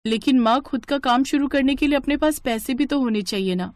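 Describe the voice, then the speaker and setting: A woman's voice: high-pitched, fairly quick, darkish tone, no echo, voice pushed up, lightly acted. A woman voicing a poor, dutiful young food-cart seller in a moral story, earnest and respectful. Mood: downcast, hesitant.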